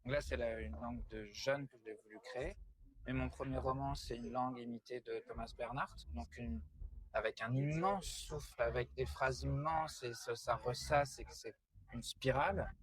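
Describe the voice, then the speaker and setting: Reflective, halting man with mid-pitched voice. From France. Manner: reflective, halting, repeats phrases. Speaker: novelist being interviewed. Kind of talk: conversational interview answers.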